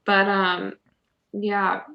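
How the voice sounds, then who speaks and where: A woman's voice: mid-range voice, monotone, extremely slow, gravelly, lo-fi sound. A woman chatting casually and informally on a group call. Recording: roomy, echoing home call audio.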